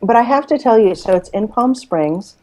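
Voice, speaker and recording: A woman's voice: on the high side, animated, moderate pace, dark tone, poor audio. A woman panelist in a casual chat with a group.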